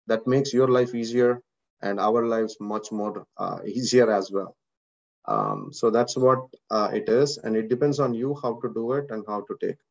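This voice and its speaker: A man's voice: medium pitch, lo-fi sound. A man with an Indian accent, a course instructor giving an earnest motivational talk to students on a video call, repeating words and using many fillers.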